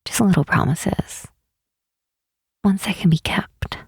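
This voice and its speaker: A woman playing a gentle, wistful demon queen in a soft ASMR roleplay, speaking slowly and tenderly in a breathy, hushed voice. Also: mid-range voice, dry recording, studio quality, heavily acted. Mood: gentle, musing. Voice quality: breathy, whispery. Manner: unhurried, hushed, tenderly reassuring.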